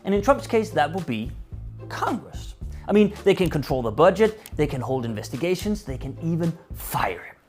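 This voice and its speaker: A man's voice: fairly high pitch, sing-song, moderate pace, darkish tone, faint music behind. Man, Danish accent, sarcastic, mock-polite, scripted comedy monologue, swooping intonation. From Denmark. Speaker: satirical presenter. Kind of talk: scripted comedic address to camera.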